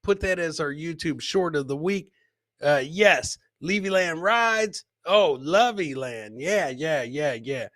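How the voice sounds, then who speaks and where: A man's voice: on the high side, lively intonation, slow, a bit bright, no echo, studio quality. An American man in his forties doing casual, exclamatory live-stream commentary as a comedy host, often repeating his catchphrases. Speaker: comedian hosting a live clip show. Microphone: close boom studio mic with headset.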